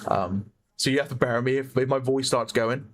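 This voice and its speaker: A man with a hoarse voice giving chatty play-by-play commentary on a competitive online shooter match, often correcting himself. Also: mid-range voice, fairly quick. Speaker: esports caster. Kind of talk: live game commentary.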